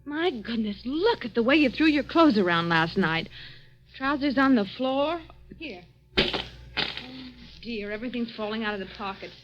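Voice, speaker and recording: An actress playing a practical, worried American sister in a 1940s radio drama, brisk and scolding but concerned. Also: female voice, fairly high pitch, expressive, faint music behind, close to an everyday voice. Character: fussing, practical, worried sister of a troubled man. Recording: dull, muffled old radio recording.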